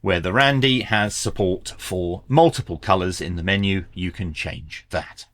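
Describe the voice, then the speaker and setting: A man's voice: sits low, measured pace, fairly bright tone. A man presenting an unboxing product review in a plain-spoken, informal, opinionated way. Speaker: reviewer and presenter of radio gear.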